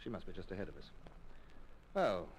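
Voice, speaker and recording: An actor playing a tired, proud but kindly British naval commander in an old radio drama, formal and halting, often clearing the throat. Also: male voice, low-pitched, very animated, slight background noise, low-quality recording.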